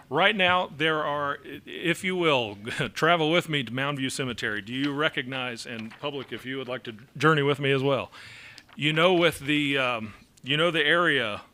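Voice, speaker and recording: Bright-voiced man explaining to council. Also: medium pitch. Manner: explanatory, many fillers, chuckles now and then. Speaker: city official presenting an ordinance. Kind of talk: council meeting presentation. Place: council chamber.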